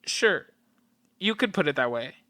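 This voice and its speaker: A man's voice: fairly high pitch, big pitch swings, measured pace, pushed and bright, no echo, clean studio sound, full character voice. An actor playing a troubled young man, guarded, wry and sad, in an audio play. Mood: sad, resigned.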